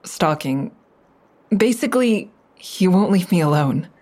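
Slow, hesitant, low-voiced anxious woman. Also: lively intonation, dark tone. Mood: anxious, halting. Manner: hesitant, halting. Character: anxious, hesitant woman reporting a stalking ex-boyfriend. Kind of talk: audio drama acting, naturalistic phone dialogue.

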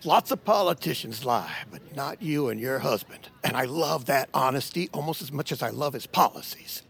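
An actor playing a courtly, proud old Confederate general, folksy, in audio fiction. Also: male voice, medium pitch, expressive, moderate pace, creaky, a bit bright, slightly rough, exaggerated voice. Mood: proud, flattering. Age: older.

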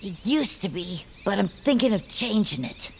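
A woman's voice: medium pitch, lively intonation, normal speed, vocal fry, a little hiss or hum. A woman voicing a young, mopey woodland creature in a family audio drama, with a dry, skeptical, hesitant manner. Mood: wry, doubtful. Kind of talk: family audio fiction character acting.

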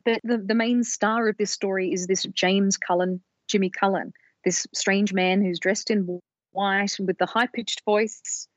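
A woman co-hosting a podcast, explaining research in a measured storytelling way on a slightly dull, lo-fi recording. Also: mid-range voice, fairly even intonation, dry recording. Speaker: podcast co-host presenting research.

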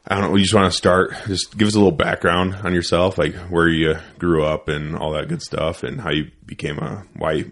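A man's voice: low voice, fairly even intonation, a little fast, hardly any pauses, fairly bright tone. A man hosting a podcast in casual chat, laid-back, swearing freely and laughing often. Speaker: podcast host.

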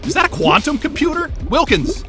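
A man's voice: very high-pitched, big pitch swings, a little fast, music in the background. An actor playing a hot-tempered, sarcastic male scientist in an audio drama, agitated, profane and combative. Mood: mocking, defiant. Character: hot-tempered, sarcastic male astrophysicist trapped in a remote station.

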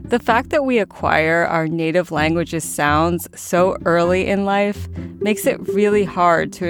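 A woman hosting a science podcast, reading scripted narration in a measured, explanatory way. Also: lowish voice. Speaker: podcast host.

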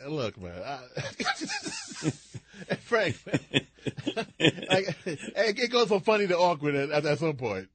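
A man's voice: high-pitched, wildly swooping pitch, slow, long pauses, fairly bright tone, slightly rough, no echo. A man bantering casually as a radio sidekick, exclamatory and incredulous, repeating himself for emphasis.

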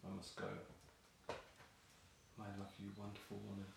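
An actor playing a weary, apologetic family man in an audio play, halting and muttering. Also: on the low side, monotone. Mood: apologetic, tender. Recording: very echoey room, clear background noise.

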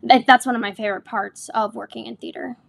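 A young woman who acts on stage talks through her work in a relaxed interview. She sounds earnest and eager, often restarting her sentences. Her voice sits a little high. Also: fast, gravelly. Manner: earnest and enthusiastic, with frequent restarts. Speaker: stage actor, guest. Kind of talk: casual interview chat.

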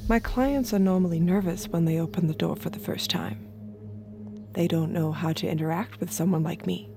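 A woman playing an obedient, observant android escort, speaking in a measured, intimate way in an audio drama. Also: lowish voice, over music, lightly acted. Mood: detached, wistful. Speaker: narrator and lead character.

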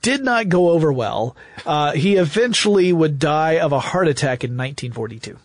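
A man's voice: fairly high pitch, lively intonation, moderate pace, dry recording. An American man co-hosting a podcast, explaining history conversationally in an emphatic storytelling way. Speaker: podcast co-host.

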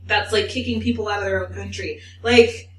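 A woman's voice: mid-range voice, steady tone, brisk, a bit bright, slight background noise. A woman co-hosting a podcast, chatting casually with lots of "like" and fillers. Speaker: podcast co-host. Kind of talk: casual chat. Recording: echoey room, mic at a distance.

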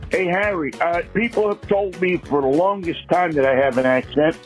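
A man's voice: on the high side, moderate pace, soft background music. A man with a New York accent leaving a confident, teasing voice message for the show. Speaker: listener voice message.

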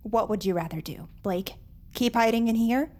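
A woman's voice: fairly high pitch, normal speed, a little mellow, lightly acted. An actress playing a determined, bossy, sarcastic teenage girl in an audio drama. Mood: insistent.

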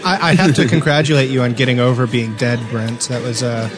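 A man's voice: mid-range voice, steady tone, fairly quick. A man co-hosting a podcast in casual group chat, with a teasing, joking manner. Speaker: podcast host. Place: dark room at a gaming LAN party. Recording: music playing in the background.

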